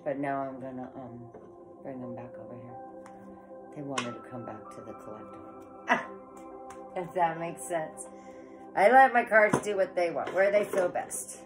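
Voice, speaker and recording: A woman's voice: a bass voice, lively intonation, a little slow, pauses often, music in the background. Chatty woman tarot reader with a head cold. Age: 40s. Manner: chatty, rambling, says "guys" often. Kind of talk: casual tarot reading to camera. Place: living room with electric fireplace, indoors.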